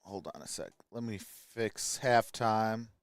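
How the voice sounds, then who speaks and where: A man's voice: fairly low pitch, unhurried, clear, resonant tone, dry recording. An American man hosting a sports livestream, chatting casually and informally to his viewers.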